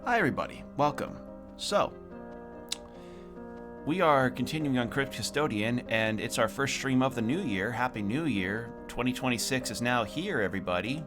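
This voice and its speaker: A man's voice: medium pitch, expressive, normal speed. A young man chatting casually on a livestream as he plays a game at home, sounding slightly sniffly. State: slightly sniffly from a cold room. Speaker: game streamer. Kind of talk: casual livestream chat over gameplay. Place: own room at home. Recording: music playing behind the voice.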